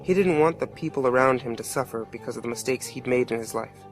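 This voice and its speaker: A man's voice: medium pitch, fast, soft background music, natural voice. A man playing a plain-spoken working-class father who narrates a dramatic tale in an earnest, colloquial way. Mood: reflective.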